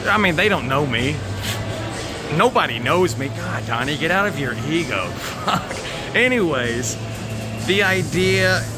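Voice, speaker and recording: An American man chatting casually and candidly to viewers, rambling and haltingly, with plenty of swearing. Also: on the high side, animated, over music. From United States. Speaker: vlogger.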